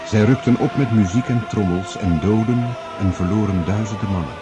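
A man narrating a radio drama in a measured, formal, literary storytelling manner. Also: fairly low pitch. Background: music.

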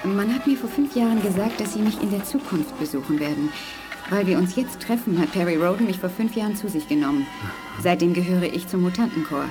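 A woman playing a grave, gentle and secretive eleven-year-old girl telepath in a radio play, speaking formally and earnestly. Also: lowish voice, lively intonation, lightly acted. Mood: composed. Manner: formal, measured, earnest phrasing. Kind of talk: 1980s German radio play acting. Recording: studio radio play with prominent music. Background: music.

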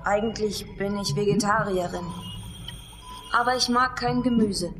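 An actress as a young female athlete with a medium-pitched voice, matter-of-fact and terse. She gives deadpan radio-play answers over clearly audible music. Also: a little slow, lightly acted. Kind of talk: German radio drama acting. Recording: music behind the voice.

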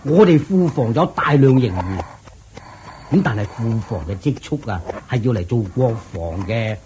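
A man's voice: medium pitch, lively intonation, measured pace, noisy background, lightly acted. A man with a Hong Kong Cantonese accent playing a thrifty, sly royal chancellor in a radio drama, coaxing and explaining in a placating way. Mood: patient, justifying. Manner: chuckling, coaxing, explaining patiently.

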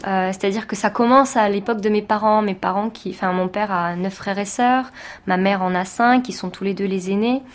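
A woman's voice: mid-range voice, fairly even intonation, fairly quick. A woman from France, a teacher, giving a reflective personal testimony in an interview, speaking thoughtfully with many hesitations.

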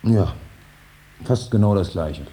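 Low, muted, grumbling man. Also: expressive, takes it slowly, dark tone. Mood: guarded, evasive. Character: evasive, grumbling man waiting for advice. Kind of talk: 1950s German radio play acting. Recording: faintly hissy 1950s radio recording.

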